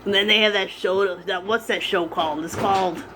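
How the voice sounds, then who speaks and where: A woman's voice: mid-range voice, steady tone, lightly acted. Woman playing a rambling, defiant chatty grandma, noisy line. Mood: gushing, rambling. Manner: rambling, argumentative, drawn-out emphatic words. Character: chatty, defiant, easily distracted grandmother, swooning over TV men. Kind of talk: prank phone call.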